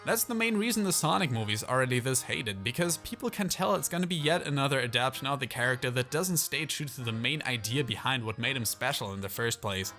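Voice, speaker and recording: A man's voice: medium pitch, lively intonation, fast talker, few pauses, pushed and bright. A man narrating a scripted video essay as its host, opinionated and casually phrased. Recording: music behind the voice. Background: background music.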